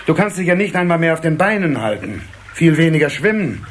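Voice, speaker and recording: Animated water-sprite father. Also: on the high side, brisk. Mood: fatherly concern. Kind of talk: children's audio play acting. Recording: slightly noisy old cassette.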